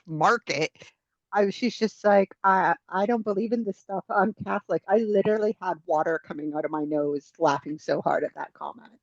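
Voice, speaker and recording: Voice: mid-range voice, moderate pace, vocal fry, dry recording, muffled recording. A podcast co-host with a Canadian accent, joking and laughing easily in casual chat.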